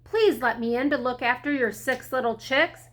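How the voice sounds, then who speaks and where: A woman's voice: sits high, normal speed, a bit bright. A woman voicing a male storybook big bad wolf, wounded and eager to please, with an emotional delivery. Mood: sweet, hopeful. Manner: emotional, swinging from sweet pleading to howling.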